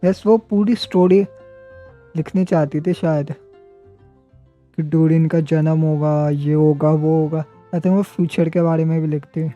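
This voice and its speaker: A man's voice: fairly high pitch, fairly even intonation, racing, warm tone. A man with an Indian accent, a native Hindi speaker, giving casual live commentary as he streams a game. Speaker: game livestreamer. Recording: dull muffled stream audio, faint music. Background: faint game music.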